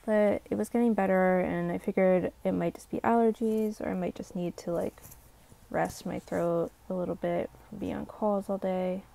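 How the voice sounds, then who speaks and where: A young woman, slightly hoarse, telling a rambling casual storytime full of "like" fillers. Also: on the low side, steady tone, moderate pace, dark tone. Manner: rambling, heavy use of "like" fillers. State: slightly hoarse from a sore throat. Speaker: vlogger.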